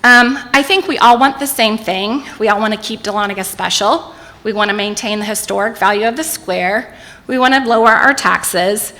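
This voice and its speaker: Woman, 40s, from Indiana, candidate, bright emphatic delivery, reverberant hall. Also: mid-range voice, moderate pace. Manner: personal, emphatic, repeating for effect. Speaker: mayoral candidate. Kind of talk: opening statement at a public forum.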